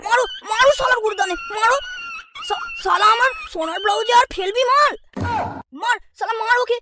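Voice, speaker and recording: Cartoon villager: squeakily high, hot-headed, vengeful, loud music underneath. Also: female voice, brisk. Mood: furious. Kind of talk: cartoon dialogue.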